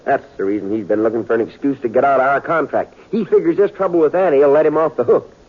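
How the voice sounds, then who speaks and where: A man's voice: medium pitch, fast talker, close to an everyday voice. Supporting: a man as a gossipy, persuasive frontier mayor, radio play, thin tinny sound. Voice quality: folksy, persuasive, talkative. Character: folksy small-town mayor and doctor, man. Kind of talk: 1950s radio drama acting. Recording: tinny old radio recording.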